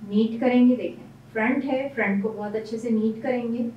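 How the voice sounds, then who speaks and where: A woman's voice: a little high, fairly even intonation, moderate pace, echoey room, recorded from a distance, highs cut off, noisy background. A woman hairstylist explaining each step of a hairstyle as she works in a salon. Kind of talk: hairstyling tutorial. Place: salon room.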